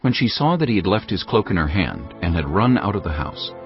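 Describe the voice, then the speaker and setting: A man giving solemn, measured scripted narration for a dramatized audio Bible. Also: low-pitched, very animated. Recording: muffled audio with music underneath. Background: background music.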